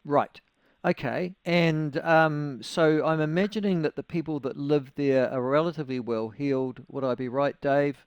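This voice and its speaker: A man's voice: fairly high pitch, fairly even intonation, normal speed, smooth, no room sound, lo-fi sound. A man hosting a talk radio interview, wry and conversational, with leading questions. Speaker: radio host.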